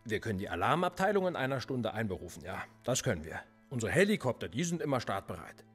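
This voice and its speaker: An actor playing a sardonic, probing police interrogator in a naturalistic radio drama, speaking in a deliberate, controlled way. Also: male voice, medium pitch, sing-song, slightly rough, faint music behind. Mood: dryly ironic. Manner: deliberate, probing, dryly ironic.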